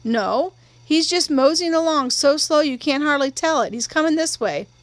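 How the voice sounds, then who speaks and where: Woman acting out an earnest, high-voiced Southern boy. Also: lively intonation, moderate pace, no room sound. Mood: earnest, worried. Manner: earnest Southern country dialect. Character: worried, earnest young boy, older brother.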